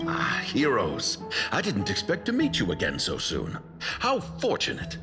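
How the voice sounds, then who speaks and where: A man voicing a courteous, measured, loyal dignitary in a video game, speaking politely and formally. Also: on the low side, wildly swooping pitch, over loud music. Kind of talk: video game character acting.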